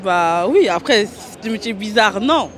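A woman's voice: mid-range voice, animated, moderate pace, fairly bright tone, a faint crowd. A woman answering a street interview outdoors, easygoing and thoughtful. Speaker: passerby interviewee. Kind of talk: casual street chat. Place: outdoor city square. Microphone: host's handheld mic.

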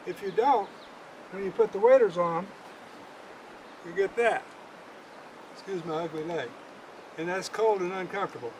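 An older man giving a casual how-to demonstration as a fishing guide, folksy and halting, often repeating words, recorded outdoors from a few metres away. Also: high voice, a little mellow, low-quality recording. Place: outdoors at a roadside in the country, by a car. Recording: clear outdoor background noise.

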